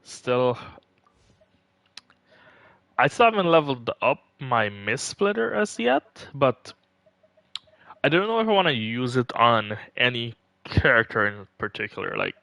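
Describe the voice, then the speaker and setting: A man's voice: medium pitch, big pitch swings, measured pace, creaky, long pauses, sharp tone, no echo. A man doing casual live gaming commentary, chatting and thinking aloud as he plays. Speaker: game livestreamer. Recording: music playing behind. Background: music.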